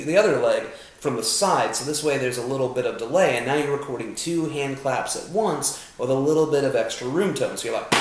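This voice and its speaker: A man's voice: mid-range voice, reverberant. A young man vlogging about recording music at home, chatty and self-deprecating, with joking profane asides. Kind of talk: casual chat. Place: home room.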